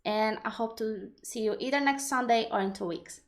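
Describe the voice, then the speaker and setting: A woman's voice: on the high side, moderate pace. A woman with a Polish accent, a native Polish speaker, hosting a livestream and explaining ideas in a thoughtful, reflective way while answering viewer questions. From Poland. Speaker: livestream host. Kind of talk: live Q&A talk.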